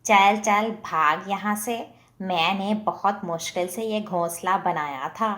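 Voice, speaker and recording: A woman's voice: medium pitch, slow pace, a bit bright. A woman voicing a proud, blunt mother crow in a children's cartoon. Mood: haughty.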